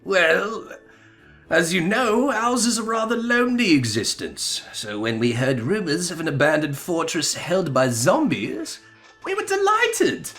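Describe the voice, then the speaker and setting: A man voicing a courteous, florid and gently melancholy zombie emissary from a video game, with formal old-fashioned diction. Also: sits high, very animated, a little slow, faint music behind, voice pushed up, full character voice. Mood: wistful, formal. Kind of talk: melodramatic video game dialogue reading.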